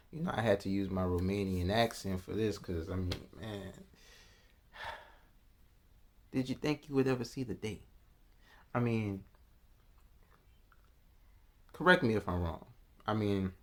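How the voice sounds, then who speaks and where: A man's voice: fairly low pitch, slow pace, pauses often. A man vlogging a casual reaction, musing aloud in a loose, slangy way with restarts and asides. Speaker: vlogger reacting to news clips.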